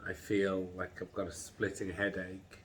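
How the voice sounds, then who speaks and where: A middle-aged man with a congested head and groggy chest, slowly and haltingly describing his illness in a personal vlog. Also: deep voice, completely flat delivery, a bit bright, a little hiss or hum. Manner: slow, halting, tired recounting. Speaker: vlogger.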